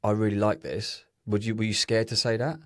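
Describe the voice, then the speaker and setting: A man's voice: on the low side, level tone, fairly quick, a bit bright, dry recording. A blunt man hosting a podcast, teasing his guest and swearing freely in casual chat on a studio mic. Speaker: podcast host.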